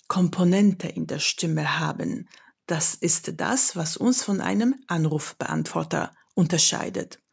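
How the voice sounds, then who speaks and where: Slow, husky Polish-accented woman. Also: fairly low pitch, a little mellow. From Poland. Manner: measured and instructive, demonstrates examples. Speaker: voice coach. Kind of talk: slow, deliberate expert explanation.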